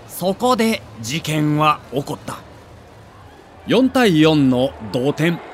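A man's voice: high voice, a bit bright, slight background noise. A man narrating a sports documentary in a theatrical, emphatic storytelling manner.

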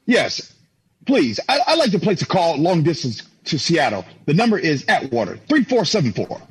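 A man's voice: on the high side, huge pitch swings, normal speed, breathy edge, heavily acted. An actor playing a harried, affectionate 1950s businessman and father in an old-time radio drama, with a hesitant, stammering delivery. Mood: flustered, polite. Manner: hesitant, stammering, folksy exclamations.

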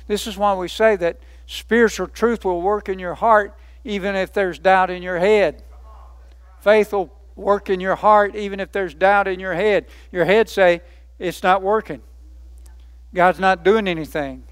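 High-voiced emphatic preacher man. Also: steady tone, unhurried, smooth, no echo. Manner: emphatic, builds by repetition, rhetorical questions. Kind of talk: sermon.

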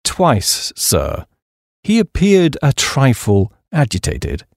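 A man voicing an unflappable, deferential English valet in a comic audiobook, speaking formally and with measured understatement in a British accent. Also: medium pitch, wildly swooping pitch, dry recording, studio quality, exaggerated voice.

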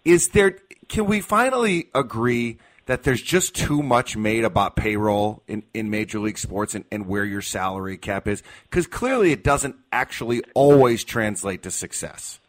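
A man's voice: lowish voice, lively intonation, moderate pace, few pauses. A man hosting a sports radio show, putting opinionated questions to a guest in conversational talk.

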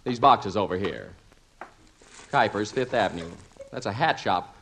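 Excitable 1950s radio husband, swooping pitch. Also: male voice, measured pace, vocal fry, heavily acted, low-quality recording. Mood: disgruntled. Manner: stammering, emphatic, boyishly excitable. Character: excitable, sentimental husband and father, exasperated new homeowner. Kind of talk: 1950s radio comedy acting. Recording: vintage 1950s radio recording.

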